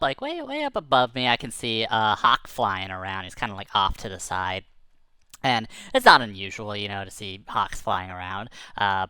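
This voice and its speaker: Male storyteller, animated intonation, loose chatty delivery full of fillers. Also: fairly low pitch, clear, resonant tone, dry recording. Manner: casual, rambling, lots of fillers. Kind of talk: telling a tall tale.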